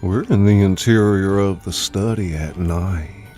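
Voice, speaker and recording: A man's voice: low voice, measured pace, warm tone. A man hosting and narrating a dramatized live audiobook reading, with music playing behind him.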